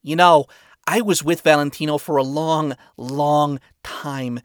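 A man's voice: fairly high pitch, steady tone, measured pace, clean tone, no room sound, studio quality, close to an everyday voice. A man voicing a flamboyant, wary male spider demon with a raised, punchy, streetwise delivery. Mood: grim.

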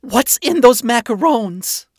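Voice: medium pitch, lively intonation, slow, fairly bright tone, breathy edge, no room sound, studio quality, pitched above the performer's own voice, heavily acted. A performer voicing a shaken but defiant young princess in an audiobook, earnest and plaintive. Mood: indignant. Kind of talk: acted audiobook dialogue.

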